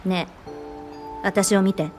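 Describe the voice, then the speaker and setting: A woman's voice: medium pitch, slow pace, music in the background, natural voice. A woman acting in a Japanese radio drama as a cheeky, impulsive young woman who talks boldly and teasingly. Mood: teasing, exasperated. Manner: bold, teasing, expressive.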